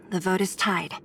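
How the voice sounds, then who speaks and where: Cool, firm young fighter. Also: female voice, lowish voice, fairly bright tone, slight background noise, full character voice. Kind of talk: video game voice acting. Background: faint game music.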